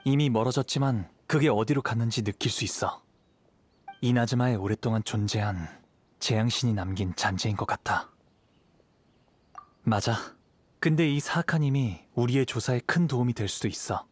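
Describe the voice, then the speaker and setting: An actor playing a calm, thoughtful young wandering samurai in a video game, speaking in a measured, analytical way. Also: male voice, mid-range voice, slightly rough, natural voice. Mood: composed, alert.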